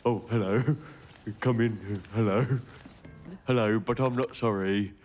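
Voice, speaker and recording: A man voicing an odd, blunt cartoon frog with a deadpan, halting delivery. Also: lowish voice, soft background music, lightly acted. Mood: blank.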